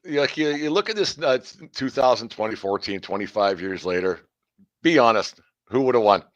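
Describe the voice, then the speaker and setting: A man's voice: medium pitch, animated, moderate pace, dry recording, muffled recording. A middle-aged man hosting a casual sports talk, rambling with lots of fillers and restarts. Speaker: podcast host.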